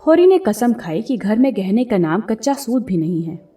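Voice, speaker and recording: A woman giving an expressive, scripted narration of a classic story, like an audiobook reader. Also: on the high side, moderate pace, recorded from a distance. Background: background music.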